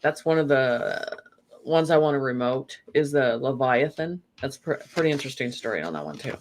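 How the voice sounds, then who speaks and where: Speaker, interview guest, meandering spiritual talk, lots of "you know" fillers, mid pitch. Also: expressive, normal speed. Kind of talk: rambling spiritual conversation.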